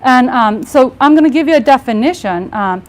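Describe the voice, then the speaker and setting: Woman, 40s, soft voice, slightly high, earnest workshop presenter. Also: animated, normal speed. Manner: earnest, many fillers and restarts. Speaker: workshop speaker. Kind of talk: conference talk. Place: live workshop room with audience.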